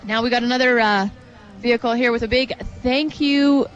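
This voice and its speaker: A woman's voice: a little high, fairly even intonation, moderate pace, highs cut off, soft background music. A woman with a Canadian accent, giving chatty, exclaiming live parade commentary as a radio co-host. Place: outdoors at a street parade.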